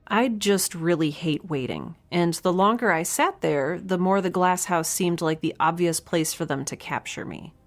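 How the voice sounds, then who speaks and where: A woman's voice: lowish voice, lively intonation, normal speed, few pauses, soft background music. An actress playing a young researcher alone on a spaceship, chatting into her log in a wry, rambling, nervous and self-deprecating way. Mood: rueful, joking. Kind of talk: audio drama, spoken log in a casual chatty manner.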